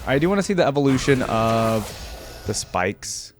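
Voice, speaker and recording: A man's voice: medium pitch, very animated, normal speed. Casual man reacting, music behind him. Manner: informal, slangy, thinking aloud. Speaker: gaming reaction video host.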